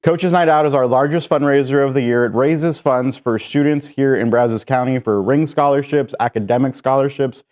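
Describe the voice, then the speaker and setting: A man's voice: mid-range voice, normal speed, hardly any pauses, darkish tone. A man, a guest on a sports radio show, upbeat and promotional about a fundraiser. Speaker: guest, alumni club president-elect.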